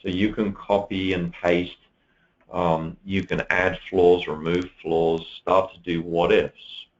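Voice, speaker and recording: A man giving a steady, explanatory walkthrough of software features in a webinar, with an occasional um. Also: low voice, slow, muffled recording. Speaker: software presenter.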